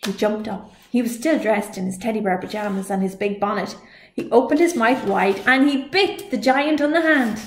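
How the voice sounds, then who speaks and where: A woman's voice: a little high, moderate pace, a little hiss or hum. Woman reading a story, echoey room. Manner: expressive storytelling. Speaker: librarian reading a picture book. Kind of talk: children's storytime read-aloud.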